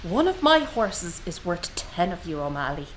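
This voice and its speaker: A woman's voice: on the low side, sing-song, moderate pace, slight background noise, poor audio. A woman playing a fiery young circus horsewoman in a radio drama, blunt and confrontational in the way she talks. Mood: angry, threatening. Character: fiery, fiercely protective young horsewoman, boss's daughter. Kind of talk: naturalistic radio drama acting.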